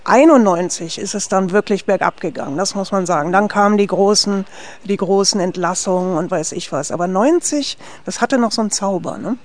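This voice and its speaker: Chatty director from West Berlin. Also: female voice, mid-range voice, fairly quick. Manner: lively, tags sentences with "ne?", frequent restarts. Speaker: film director being interviewed. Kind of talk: conversational interview answers.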